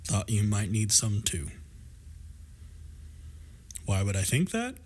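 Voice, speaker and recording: Man playing a low-voiced, teasing, supportive best friend. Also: big pitch swings, slow, darkish tone, breathy edge, full character voice. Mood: playful, teasing. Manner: playful, affectionate, wry, reassuring. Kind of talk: intimate scripted roleplay audio drama.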